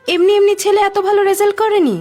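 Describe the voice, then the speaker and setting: A woman playing a proud, nagging middle-aged wife and mother in a cartoon, expressive and dramatic. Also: very high-pitched, fast talker, soft background music. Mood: indignant, nagging. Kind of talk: Bengali cartoon voice acting.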